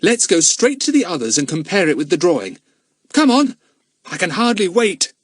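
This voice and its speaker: Man playing a keen, high-pitched schoolboy. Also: wildly swooping pitch, normal speed, slightly rough, dry recording, exaggerated voice. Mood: excited. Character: keen, sensible schoolboy detective, eager and forthright. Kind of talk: acted children's audiobook dialogue.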